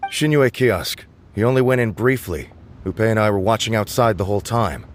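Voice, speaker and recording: A man's voice: on the low side, brisk, a little hiss or hum, close to an everyday voice. A man voicing an earnest, dutiful male subordinate reporting in a game. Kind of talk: game dialogue.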